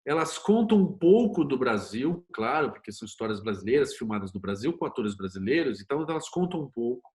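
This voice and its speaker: A man's voice: mid-range voice, sing-song, fairly quick, hardly any pauses, a little mellow. A middle-aged man with a Brazilian accent, speaking as an interview guest in a colloquial, opinionated, conversational way. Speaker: interview guest, series producer and screenwriter. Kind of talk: conversational interview talk with anecdotes.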